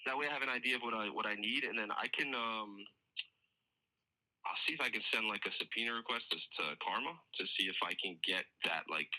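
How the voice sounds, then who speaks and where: A man's voice: on the low side, a little fast, bright, forceful tone. A man talking informally as a police detective on a recorded work phone call, explaining things in a hedging, conversational way.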